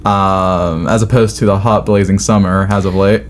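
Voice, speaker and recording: A young American man chatting casually as a podcast host, in an informal, halting, self-interrupting way. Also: low-pitched, fairly even intonation. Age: young adult. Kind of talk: casual conversational chat. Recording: clearly noisy room ambience.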